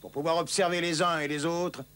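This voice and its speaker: An actor playing a resolute royal leader of knights in a cartoon, speaking with authority in a declamatory way. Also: male voice, a little high, flat delivery, brisk, pushed and bright, clean tone. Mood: calm, resolute. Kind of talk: dubbed cartoon acting.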